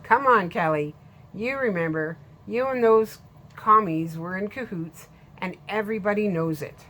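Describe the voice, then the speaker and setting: A woman's voice: medium pitch, unhurried, a bit bright, a little hiss or hum, close to an everyday voice. A woman voicing a plain-spoken island storekeeper. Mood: matter-of-fact. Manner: plain-spoken, matter-of-fact. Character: blunt, friendly middle-aged male island storekeeper.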